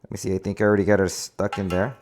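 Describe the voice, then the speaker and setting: A man's voice: lowish voice, level tone, very fast. A man working as an installer gives a casual, unscripted product walkthrough, hesitating and often correcting himself as he repeats words. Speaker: installer presenting a product demonstration. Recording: noisy, with clicks and handling clatter.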